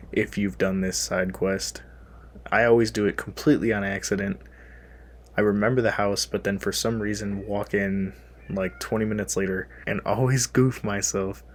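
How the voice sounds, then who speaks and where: A young man hosting his own gaming channel, audibly sick and worn out, with a sniffly, slightly lower voice. He rambles casually and pokes fun at himself, trailing off with fillers as he goes. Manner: rambling, self-deprecating, frequent fillers. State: tired. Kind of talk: casual gaming list commentary.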